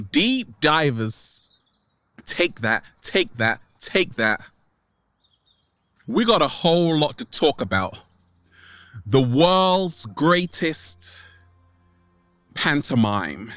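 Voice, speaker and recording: Slow, animated upbeat man. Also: mid-range voice, no echo. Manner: theatrical, drawn-out words, playful repetition; upbeat, exuberant. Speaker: video host. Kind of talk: motivational vlog to camera, catchphrases. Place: outdoors in nature.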